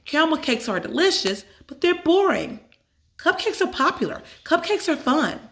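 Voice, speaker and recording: An earnest young girl from a children's storybook, voiced by a woman reading aloud. She is shy and orderly but insistent, and speaks in a raised, girlish pitch. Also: big pitch swings, normal speed, gravelly, a bit bright, heavily acted.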